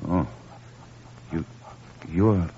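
An actor playing a despairing, newly blind patient in an old radio drama, curt and defiant. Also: male voice, low-pitched, extremely slow, warm tone. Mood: subdued wonder. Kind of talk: 1940s-50s radio drama acting. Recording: dull, noisy old radio recording.

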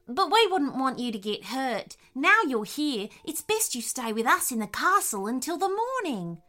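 A woman's voice: high voice, very animated, normal speed, bright, forceful tone, pitched above the performer's own voice, full character voice. A woman voicing a kindly, brave echidna in a children's story, with an earnest, reassuring manner.

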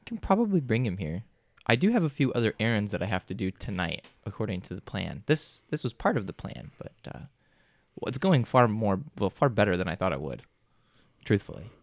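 A man's voice: on the low side, big pitch swings, brisk, no echo. A young man playing his character in a tabletop game, talking casually with lots of restarts. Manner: casual, chatty, with frequent restarts and laughs. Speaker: player.

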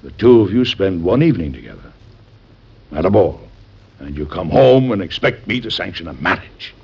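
Stern patriarch President for vintage radio drama. Husky, low, American, authoritative and protective, dull old recording. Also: male voice, very animated, normal speed, a little mellow, heavily acted. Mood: firm, earnest. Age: middle-aged. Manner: emphatic, formal, authoritative. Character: stern, protective President and father, hot-tempered but loving.

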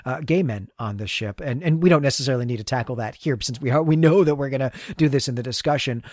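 A man with a mid-pitched voice co-hosts a literary podcast. He discusses a story in a conversational way, feeling his way through thoughts and repeating words. The sound is dry and close, with no room echo. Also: fast talker, darkish tone. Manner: tentative, thinking aloud, repeats words. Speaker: podcast co-host.

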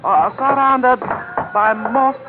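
A tinny old radio recording carries a smiling henchman, pitched high. He speaks in ornate, over-polite phrases, courteous and menacing at once, in classic 1940s radio-play style. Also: slow pace, music in the background. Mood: smooth, courteous menace. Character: smiling, flowery-spoken male henchman with a gun.

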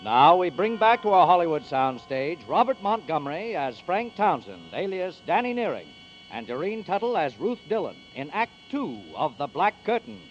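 A man's voice: sits high, big pitch swings, moderate pace. A man giving a formal, dramatic introduction as a radio announcer. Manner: dramatic, sweeping delivery. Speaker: program announcer. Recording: dull 1940s radio broadcast with music.

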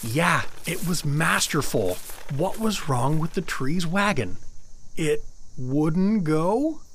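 A man's voice: fairly high pitch, animated, a bit bright, a little hiss or hum, heavily acted. A man playing a stubborn, bumbling middle-aged dad with corny jokes in a comedy audio drama, blustery and defensive. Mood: self-amused. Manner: blustery, defensive, halting when awkward.

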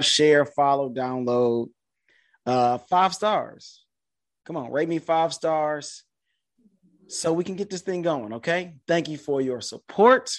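Hype young man hosting a podcast. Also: a little high. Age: 30s. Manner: hype, quick housekeeping patter. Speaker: podcast host. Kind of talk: casual podcast chat.

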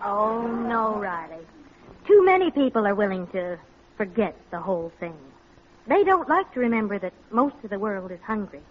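A woman's voice: mid-range voice, very animated, normal speed. A woman acting an earnest, morally firm housewife in a 1940s radio comedy, lecturing with emphatic reproach. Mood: reproachful, grave. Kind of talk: 1940s American radio sitcom dramatic acting. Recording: muffled old radio recording.

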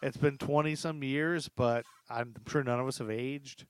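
A man's voice: medium pitch, fairly even intonation, unhurried, slight background noise. A middle-aged man hosting a podcast in a casual, chummy, rambling way as he chats with guests. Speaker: podcast host.

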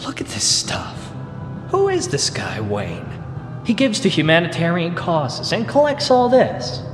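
A man's voice: fairly high pitch, wildly swooping pitch, unhurried. An actor playing a nosy, wisecracking male newspaper reporter, brash and pushy, in an echoey audio drama with music. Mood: cheeky, sardonic. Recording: echoey hall, music behind.

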